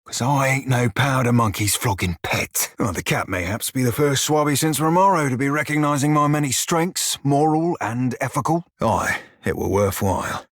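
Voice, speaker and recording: An actor playing a roguish, bawdy, furry blue pirate in a video game, drawling salty sailor slang with a teasing, wry manner and a rough-edged voice. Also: male voice, mid-range voice, moderate pace, hardly any pauses, fairly bright tone, dry recording, studio quality, natural voice. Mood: gruff, wry. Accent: stylised pirate dialect. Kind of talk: video game character dialogue.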